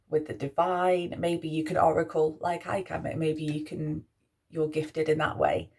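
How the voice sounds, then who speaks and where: A young woman with a Mancunian accent, a priestess talking softly through her spiritual values with frequent restarts. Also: low-pitched. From Manchester, England. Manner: musing, soft-spoken, with frequent restarts. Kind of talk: spoken reflection on spiritual values.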